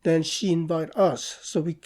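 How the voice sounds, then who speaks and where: A middle-aged man from South Korea with a Korean accent, answering interview questions in a halting way, with pauses. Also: on the high side, steady tone, darkish tone. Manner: slow, halting, with pauses and restarts. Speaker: guest artist and professor.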